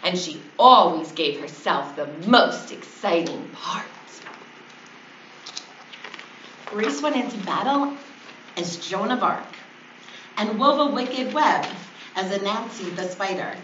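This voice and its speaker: A woman's voice: medium pitch, expressive, slow, pauses often, fairly bright tone. A woman reading a children's story aloud as a teacher would to her class. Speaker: fourth grade teacher. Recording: distant, echoey, dull, noisy recording.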